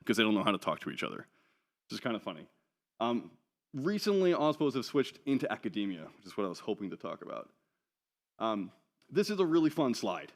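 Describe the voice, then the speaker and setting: Low-voiced man giving a casual conference talk. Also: sing-song, a little fast. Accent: none New Zealand. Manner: casual, chatty, wry asides with many ums. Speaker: conference speaker. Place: conference hall.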